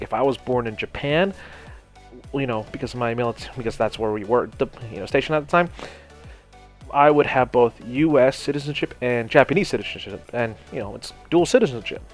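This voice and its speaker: A man's voice: medium pitch, quick pace, soft background music. A young man from Hawaii casually telling a personal story over gameplay, rambling with lots of "you know" and "like" and some swearing. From Hawaii, United States. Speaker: gaming channel host.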